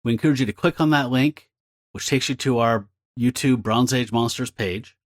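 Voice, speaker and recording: A man's voice: medium pitch, moderate pace, no room sound, studio quality. A man speaking as a podcast host, reading a scripted announcement.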